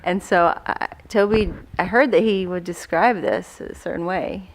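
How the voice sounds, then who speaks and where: A woman with a slightly low voice shares a story in a casual interview. She talks informally, in a teasing way. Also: normal speed, rough recording.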